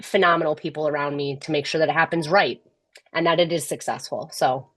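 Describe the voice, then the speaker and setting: A middle-aged woman speaking as a podcast guest, candid and measured, often restarting her sentences. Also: low-pitched, fairly even intonation, no room sound, clean studio sound. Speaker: podcast guest, tech executive. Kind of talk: casual interview conversation.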